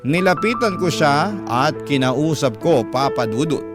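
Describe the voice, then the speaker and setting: Filipino male storyteller over clear music. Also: fairly high pitch, animated, measured pace. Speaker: radio storyteller reading a letter. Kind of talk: dramatic scripted first-person narration. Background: music bed.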